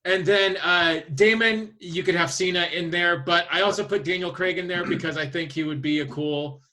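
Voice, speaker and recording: A man's voice: high voice, steady tone, normal speed, bright, forceful tone. A man co-hosting a casual podcast, talking informally and feeling his way through his sentences. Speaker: podcast co-host. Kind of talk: casual podcast chat about films and games.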